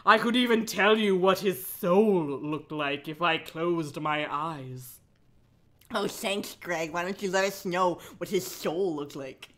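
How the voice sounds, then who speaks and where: A woman livestream host cold-reading aloud with mocking asides, animated, sarcastic and giggly, with casual swearing. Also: fairly low pitch.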